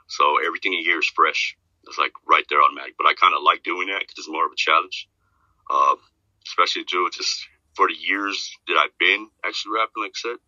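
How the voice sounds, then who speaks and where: Deep voiced guy rambling on a podcast, super bright edge, dry dull sound. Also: no echo. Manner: rambling, repeats himself, filler-heavy. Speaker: podcast guest, rapper. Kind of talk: casual chat.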